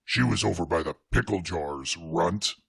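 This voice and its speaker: A man voicing a menacing, sneering two-headed monster in a children's storybook, with a gravelly, raspy voice. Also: deep voice, a little slow, fairly bright tone, no room sound, pitched below the performer's own voice, exaggerated voice.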